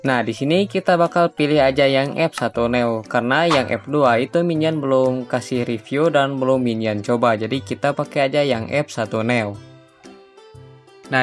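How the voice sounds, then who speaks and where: Casual young man over music. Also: medium pitch. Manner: informal, chatty, slangy. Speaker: YouTube tutorial host. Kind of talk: casual screen-recording tutorial narration. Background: background music.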